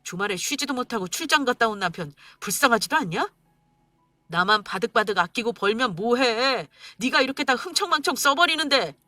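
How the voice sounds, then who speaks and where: A woman's voice: high voice, very animated, moderate pace, dry recording, pitched above the performer's own voice, heavily acted. A woman voicing a furious, scornful husband. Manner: shouting, scornful and bitter. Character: angry stingy husband, adult man.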